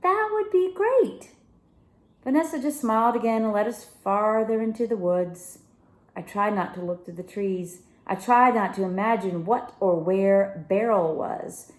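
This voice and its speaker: A woman narrates a children's story expressively. Her voice sits at a medium pitch. The recording has a clear roomy echo. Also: measured pace. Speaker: story reader.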